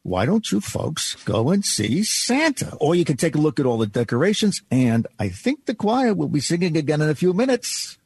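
Folksy, lively 1950s doorman. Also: a little high, moderate pace, hardly any pauses, husky, heavily acted. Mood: reassuring. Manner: folksy, reassuring. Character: friendly, helpful 1950s department store doorman. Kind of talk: old-time radio drama acting.

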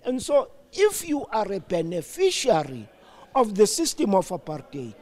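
An older man with a South African accent in a reflective political discussion, deliberate and halting, with emphatic stresses. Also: high voice, wildly swooping pitch, a little mellow. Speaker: interview guest.

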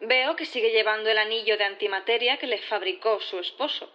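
Casting: female prosecutor, played by an actress in sci-fi audio drama; higher voice, methodical, insistent, formal, slightly dull recording. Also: a little fast, close to an everyday voice. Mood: probing, polite. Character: composed, probing female prosecutor in a sci-fi courtroom. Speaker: actor in audio fiction. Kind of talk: audio drama acting, courtroom questioning.

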